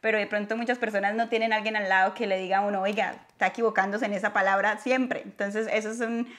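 A woman's voice: medium pitch, fairly even intonation, quick pace, a bit bright. Chatty young woman vlogger. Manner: chatty, laughs easily. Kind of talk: casual explainer chat. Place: living room sofa.

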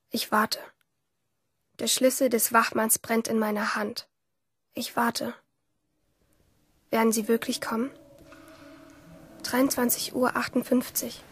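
A woman's voice: on the high side, fairly bright tone, a little hiss or hum. A woman playing a rebellious, lonely teenage girl who narrates her thoughts intimately in a German radio play. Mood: tense, expectant.

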